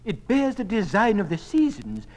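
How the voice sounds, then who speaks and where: A man's voice: high-pitched, huge pitch swings, normal speed, darkish tone. An actor playing a timid, genteel, flustered male museum curator in a radio drama, hesitant and stammering. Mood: eager, flustered. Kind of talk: 1950s radio drama acting.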